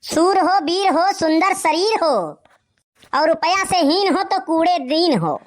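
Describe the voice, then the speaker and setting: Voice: very high-pitched, animated, takes it slowly, exaggerated voice. A performer voicing a cheeky, self-assured young village man in a comedy cartoon, with an Awadhi accent. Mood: philosophical. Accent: Awadhi, eastern Uttar Pradesh.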